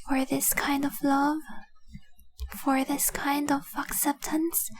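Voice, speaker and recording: A woman playing a devoted, remorseful young dragon-blooded fiancée in an intimate romantic audio roleplay, earnest and tender with a breathy, near-whispered voice. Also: sits high, rough recording. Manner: slow, earnest, tender confessing.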